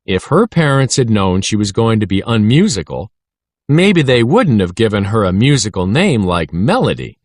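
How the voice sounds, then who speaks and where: A man's voice: fairly low pitch, very animated, moderate pace, dry recording, studio quality. Man reading as an eager, chatty schoolboy pal. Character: eager schoolboy best friend, playful and talkative.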